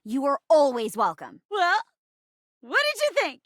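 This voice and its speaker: Bubbly drama queen game girl, super theatrical, pitch all over the place, dry close sound. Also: very animated, a little slow, no room sound. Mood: playful, bubbly. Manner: melodramatic, bubbly stage-show delivery. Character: theatrical, excitable female chair character who stages plays. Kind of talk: video game character voice acting.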